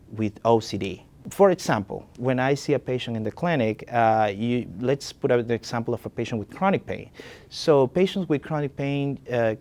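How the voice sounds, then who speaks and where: Doctor from ecuador, earnest, pauses and ums a lot, mid voice. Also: male voice, mid-range voice, darkish tone. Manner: earnest, thoughtful, with frequent pauses and fillers. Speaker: neurosurgeon. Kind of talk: interview about his work.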